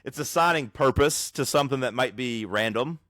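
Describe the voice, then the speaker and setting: A man co-hosting a podcast, loud and teasing as he banters over a remote recording. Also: medium pitch, brisk, no room sound. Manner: loud, teasing, jumps in with bits. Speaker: podcast co-host. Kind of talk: casual banter. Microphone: own microphone, recorded remotely in an app.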